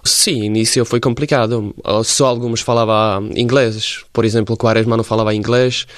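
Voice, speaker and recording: A man in his forties from Slovakia, speaking Portuguese with a Slovak accent as a radio interview guest, pausing often to search for words. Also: lowish voice, fairly even intonation, dry recording, clean studio sound. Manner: halting, searching for words in a second language. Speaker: interview guest, former footballer.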